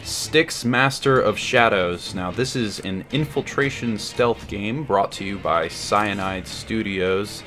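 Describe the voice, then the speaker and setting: A man's voice: lowish voice, bright, forceful tone. A man giving wry, semi-scripted review commentary over video game footage, with a somewhat hesitant delivery.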